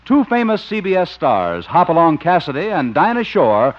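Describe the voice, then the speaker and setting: A man's voice: fairly high pitch, very animated, normal speed. An American man announcing on 1950s network radio in a formal, polished, emphatic scripted style. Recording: dull vintage radio broadcast recording.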